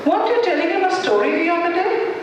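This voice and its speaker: Fretting mother played by a woman for puppet drama; high, swooping, pleading, heard in a big echoing hall. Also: lively intonation, fast, a little hiss or hum. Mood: anxious. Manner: worried, pleading. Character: anxious, fretting mother of a selfless grown son. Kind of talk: puppet show dialogue. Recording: echoey hall, distant, loud music.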